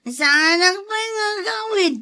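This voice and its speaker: A woman playing a grieving, defiant young woman in an emotional audio drama, slow and tearful. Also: extremely high pitch, sharp tone, full character voice. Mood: defiant, upset. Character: grieving, defiant, drunk young woman mourning her boyfriend.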